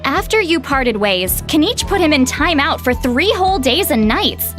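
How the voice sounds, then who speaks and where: High-pitched, self-assured young scholarly woman. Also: sing-song, normal speed, music in the background. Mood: confident, explanatory. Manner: articulate, self-assured, explanatory. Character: haughty, scholarly young woman, proud of her learning. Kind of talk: video game dialogue, expository storytelling.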